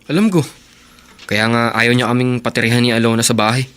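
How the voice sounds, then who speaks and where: A man's voice: medium pitch, a little fast, a bit bright, clear, resonant tone, close to an everyday voice. An actor playing a young working man, earnest, resigned and stubborn, in a radio drama. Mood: resigned, firm.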